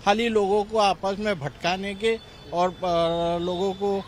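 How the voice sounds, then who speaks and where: A man's voice: sits high, little pitch movement, takes it slowly. A man answering informally in a street interview. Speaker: member of the public interviewed. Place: outdoors on a city street.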